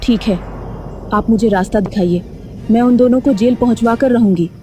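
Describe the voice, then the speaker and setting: A woman's voice: a little high, normal speed, close to an everyday voice. A woman playing a kind-hearted, determined village mother in a Hindi cartoon story, earnest and emotional. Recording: faint music behind the voice.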